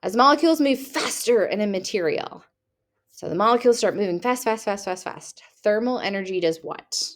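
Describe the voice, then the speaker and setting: A woman's voice: medium pitch, animated, brisk. A young woman teacher reading test questions aloud and rephrasing them playfully for her students, recorded at her computer. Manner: reads each question, then rephrases it playfully.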